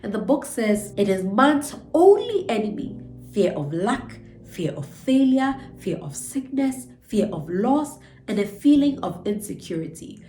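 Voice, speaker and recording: A woman's voice: a little high, sing-song, unhurried, dark tone. Nasal woman with a cold, encouraging. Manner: earnest, encouraging, stretches words for emphasis. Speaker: channel host. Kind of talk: motivational book breakdown. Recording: echoey room with faint music.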